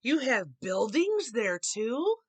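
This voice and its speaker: A kind storybook elephant, read by a woman, earnest and protective. He pleads sincerely, with an animated, emphatic delivery. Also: on the high side, takes it slowly, breathy edge, pitched above the performer's own voice, heavily acted. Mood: gentle, reassuring.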